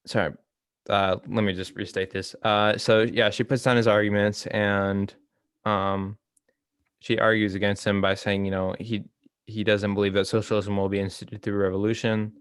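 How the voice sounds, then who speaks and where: A young man co-hosting a casual discussion, talking in a hesitant, halting way. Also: lowish voice, little pitch movement, clear, resonant tone, dry recording, clean studio sound. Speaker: co-host of a reading discussion.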